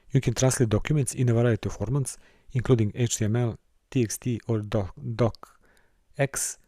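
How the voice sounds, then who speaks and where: A man from Serbia, teaching a course and walking through a software console, with careful, halting phrasing. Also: lowish voice, no room sound, studio quality. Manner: careful, halting delivery with small restarts and non-native phrasing. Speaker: course instructor. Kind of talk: screen-recorded software tutorial.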